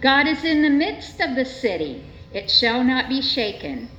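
A woman gives a formal scripture reading in a measured, solemn manner at a church service. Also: high-pitched, sing-song, a bit bright. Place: indoors in a church. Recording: echoey church, dull sound, faint noise.

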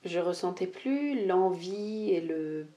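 A young woman hosting a solo podcast, talking without notes and often pausing with fillers and restarts. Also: lowish voice, lively intonation, mellow. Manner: hesitant, many "euh" fillers and restarts. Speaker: podcast host, life mentor. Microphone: podcast microphone.

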